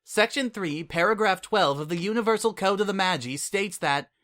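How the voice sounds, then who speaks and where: A man's voice: high-pitched, moderate pace, sharp tone, voice pushed up. A man voicing a brash, smug female pony spy in a fan audiobook reading, speaking in a flippant, mocking way.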